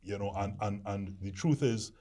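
A deep-voiced man in casual discussion, rambling with frequent restarts. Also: moderate pace, warm tone. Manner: rambling, hesitant with many restarts. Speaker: discussion panelist.

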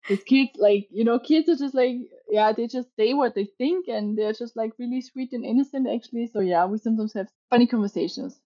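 A woman's voice: a little high, a little fast, few pauses, dark tone, highs cut off. A woman from Austria, whose first language is neither English nor Danish, chatting casually in an interview with many fillers. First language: not English or Danish.

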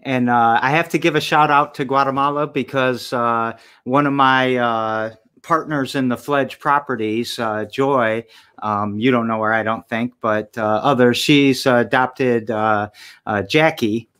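A man interviewing a guest on a video call, rambling and filling pauses with "uh" and "um". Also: mid-range voice, fairly even intonation, fairly bright tone, clean tone. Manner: rambling, many "uh" and "um" fillers.

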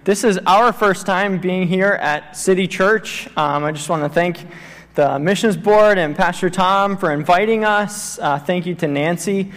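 A fairly high-pitched man in his thirties who grew up in Tanzania gives a missions talk at church. He speaks earnestly, with plenty of ums and uhs, and his voice rings with the long reverb of a church hall. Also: measured pace, a bit bright. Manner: earnest, with many fillers. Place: church sanctuary.

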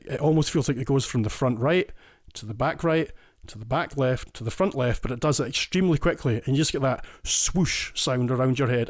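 A man's voice: mid-range voice, a little mellow, dry recording, studio quality. A Scottish man talking eagerly and at length as a guest in a casual podcast chat, often restarting his sentences. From Scotland. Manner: enthusiastic, explains in detail with frequent restarts. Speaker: guest listener.

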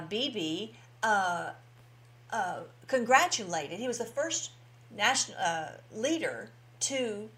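A woman's voice: mid-range voice, fairly bright tone. Emphatic, folksy woman giving informal commentary. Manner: folksy, emphatic, repeats phrases for stress. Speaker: host of a political commentary show. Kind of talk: informal political commentary to followers, reading out posts.

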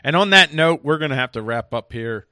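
An American man hosting a casual political talk show, speaking in a halting way and repeating words for emphasis. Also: on the high side, expressive, sharp tone, dry recording, studio quality.

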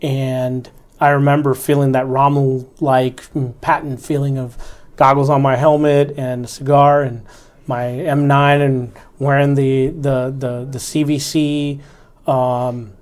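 A man in his forties speaks with an even, level delivery that rarely climbs or dips. He is an army officer reflecting on his experience in an interview, earnest and instructive, and he keeps coming back to the fundamentals. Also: medium pitch, a little slow.

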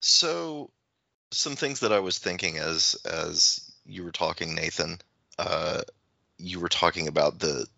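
A man in a reflective literary discussion, halting and searching as he thinks aloud and circles back over phrases. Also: low-pitched, gravelly, bright, forceful tone, no room sound, lo-fi sound. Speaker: podcast panelist.

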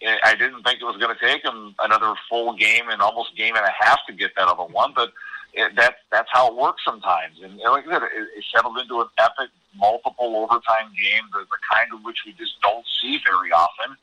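Man, hockey commentator, conversational analysis, sharp forceful tone, dry recording, emphatic with repeated words. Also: on the low side, brisk, dry recording, low-quality recording. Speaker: hockey broadcaster, interview guest.

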